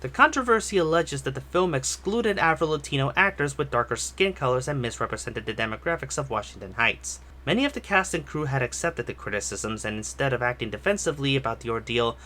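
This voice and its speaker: A man's voice: mid-range voice, animated, fast, few pauses, pushed and bright, slight background noise. A man narrating a scripted but casual, profane film review in an emphatic, opinionated way. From Puerto Rico. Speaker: movie reviewer. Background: music behind the voice.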